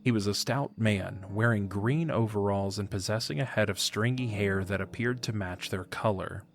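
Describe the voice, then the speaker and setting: A man reading scripted narration for a fantasy audio drama in a measured storytelling manner. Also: on the low side.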